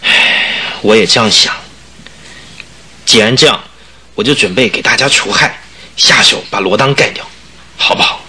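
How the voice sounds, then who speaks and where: An actor playing a scheming, indignant young circus performer in a Chinese radio drama, with an urgent, persuasive delivery. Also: male voice, fairly low pitch, normal speed, long pauses, sharp tone, slightly rough, exaggerated voice. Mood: conspiratorial, urgent. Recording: noisy old radio drama recording.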